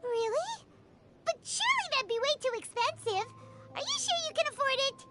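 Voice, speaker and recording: A woman playing a tiny, excitable, childlike floating companion in animated video game dialogue, squeaky and easily indignant. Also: moderate pace, loud music behind the voice. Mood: wishful, impressed. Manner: speaks of herself in third person, excitable.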